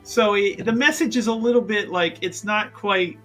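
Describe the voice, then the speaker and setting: A man's voice: high voice, normal speed, pushed and bright, soft background music. A man playing a tabletop roleplaying game, chatting casually and eagerly, often repeating his phrases.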